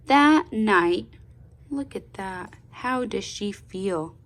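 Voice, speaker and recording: A woman reading a children's storybook aloud in a deliberate, expressive, teacherly way, pausing to put questions to the listener. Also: medium pitch. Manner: deliberate, expressive teacher-like delivery with pauses and prompts.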